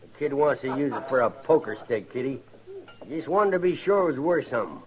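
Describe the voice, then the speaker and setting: A bullying saloon card dealer of the Old West, acted by a man. His rustic American delivery is brusque and animated, full of suspicion. The radio recording is slightly muffled. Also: mid-range voice, a little fast, natural voice. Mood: suspicious. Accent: American, rustic Western. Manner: brusque, slangy, bossy. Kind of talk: old-time radio drama acting.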